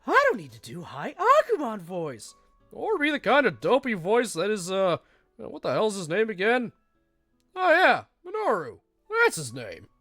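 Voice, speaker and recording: A man's voice: high voice, normal speed, no room sound, faint music behind. A man streaming games, chatting casually in a rambling, self-deprecating way, with a stuffy nose and a lingering cough. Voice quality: self-described monotone voice. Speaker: game streamer.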